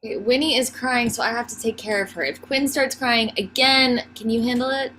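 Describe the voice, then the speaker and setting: A woman's voice: on the high side, brisk, bright, forceful tone. A woman playing a frazzled, exasperated forty-year-old wife and mother in a naturalistic table read. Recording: clearly noisy online call audio.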